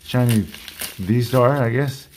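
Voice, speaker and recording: A man hosting a casual card unboxing vlog, chatty and rambling, slightly hoarse from losing his voice. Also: medium pitch, takes it slowly, a little mellow, a little hiss or hum. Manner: rambling, restarts sentences, chatty. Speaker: card collecting channel host. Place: indoor collection room at a desk.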